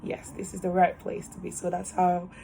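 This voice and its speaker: A woman's voice: fairly low pitch, normal speed, gravelly, slight background noise, poor audio. A woman, telling her story in a cheerful, laughing way over a video call from a small room at home. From Cameroon. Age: 20s. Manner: cheerful, laughs often, animated hands. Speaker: interviewee, student nurse. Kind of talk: casual personal story. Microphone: webcam on a video call.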